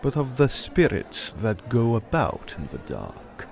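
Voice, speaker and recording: A man's voice: mid-range voice, huge pitch swings, measured pace, gravelly, a little mellow, soft background music, heavily acted. A man playing a wary superstitious villager. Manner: folksy rural dialect, dry wit. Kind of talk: old-time radio drama acting.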